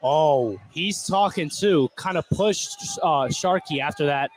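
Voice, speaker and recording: A man's voice: a little high, slow pace, poor audio. A man giving informal, opinionated color commentary on a basketball game, repeating himself for emphasis. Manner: casual, opinionated, emphatic repetition. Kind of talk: informal sports analysis. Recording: faint gym noise behind. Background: basketball game ambience.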